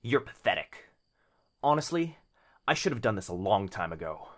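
A man acting as a devoted young boyfriend in an ASMR roleplay, tender, intimate and unhurried yet firm. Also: lowish voice, sing-song.

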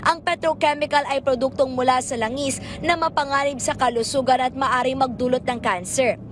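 A woman field reporter narrating a television news report in a measured, formal newsreading manner. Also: high-pitched, fairly even intonation, slight background noise.

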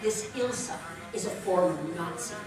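A woman's voice: on the low side, moderate pace, natural voice. A woman playing an anxious young singer caught up with spies, speaking urgently in a live 1940s radio play. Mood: worried. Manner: urgent, worried. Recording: echoey hall from far off with music.

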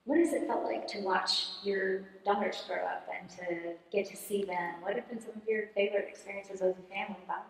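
A middle-aged woman priest interviewing parishioners in a church. She talks gently, with hesitant rephrasing. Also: mid-range voice, steady tone, a little fast, vocal fry, hardly any pauses. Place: large church sanctuary. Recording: very echoey church hall.

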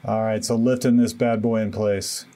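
A man in his thirties giving a relaxed, self-deprecating voice-over walkthrough of a home building project, with many fillers. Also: lowish voice, moderate pace. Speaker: DIY renovation vlogger.

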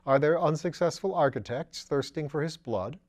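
A man voicing a breezy, witty upper-class English gentleman detective in a storybook, musing aloud in slangy exclamations. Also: fairly high pitch, normal speed, voice pushed up, close to an everyday voice. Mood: animated, puzzled.

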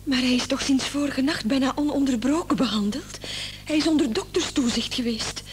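An actress in a radio drama playing the distraught, reproachful wife of a gravely ill man. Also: high-pitched, brisk, lightly acted. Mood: upset, protesting. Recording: old radio play, faint noise and hum.

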